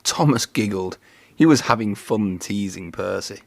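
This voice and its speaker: A man reading a children's story aloud in an expressive narrating style. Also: fairly low pitch, unhurried, creaky, dry recording.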